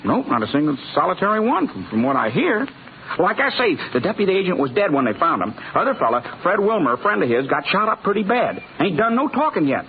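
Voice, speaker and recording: An American actor playing a boastful, friendly, talkative Western blacksmith with folksy, rustic speech in a 1950s radio drama. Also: male voice, high voice, big pitch swings, fast. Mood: gossipy. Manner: folksy, garrulous, rustic Western drawl and grammar. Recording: tinny, noisy 1950s radio recording.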